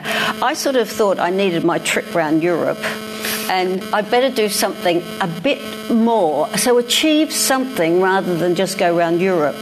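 A woman's voice: mid-range voice, rough recording. An older Australian woman, a guest speaker, talking casually and self-deprecatingly about her career in front of an audience, with frequent restarts. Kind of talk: reflective conversation on stage. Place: lecture hall with live audience.

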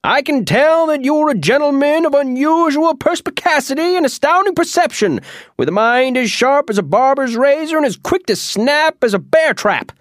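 A blustering storybook wizard with a high, loud voice, full of pompous flourishes, as a male narrator puts him on. He booms his grand words and sweeps up and down. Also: animated, moderate pace, no echo, studio quality. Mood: flattering.

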